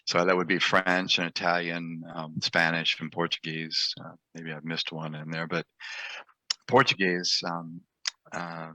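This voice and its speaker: An older man, an author being interviewed, talking in an unhurried, reflective way with plenty of ums and uhs. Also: low voice, level tone, pushed and bright, no echo, poor audio. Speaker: author guest. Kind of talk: casual interview talk.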